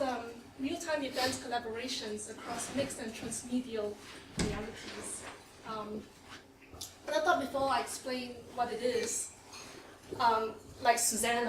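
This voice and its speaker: A woman with a Singaporean accent giving an academic conference talk in a seminar room, lively, with frequent "um"s and laughs. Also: a little high. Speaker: conference speaker. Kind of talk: academic presentation. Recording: echoey room, faint noise.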